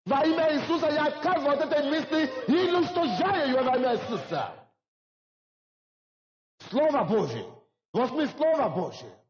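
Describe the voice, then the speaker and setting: High-voiced preacher in a reverberant hall. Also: male voice, big pitch swings, moderate pace, long pauses. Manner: preaching with rising emphasis and repetition. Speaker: pastor. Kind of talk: sermon. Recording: dull lo-fi hall recording.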